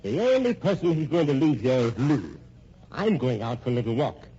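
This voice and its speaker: Smug scheming husband, swooping, muffled recording. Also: male voice, mid-range voice, very animated, brisk, warm tone, husky. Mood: gloating, controlling. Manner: mock-genial, stammering false courtesy. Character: scheming, smug, sardonic husband playing everyone against each other. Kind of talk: old-time radio drama acting. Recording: muffled, noisy old radio recording.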